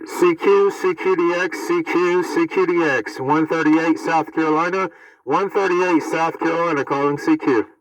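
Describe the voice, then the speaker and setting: A man's voice: on the high side, steady tone, normal speed, noisy background. A middle-aged American man talking casually as a CB radio hobbyist, often repeating his phrases. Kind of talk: casual vlog talk and radio calling.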